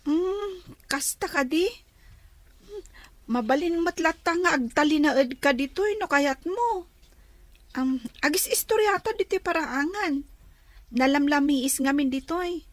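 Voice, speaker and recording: High-voiced meek teen girl. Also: a little slow, pauses often, no echo. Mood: friendly. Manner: soft, pleading, often tearful. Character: gentle teenage granddaughter, meek and hurt. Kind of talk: radio drama acting.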